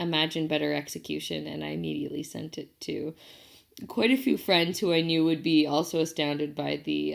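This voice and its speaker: A woman gives casual audience feedback in a low voice full of heavy creak. She is articulate and leans hard on her strongest words. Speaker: film festival audience member. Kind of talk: casual spoken film review.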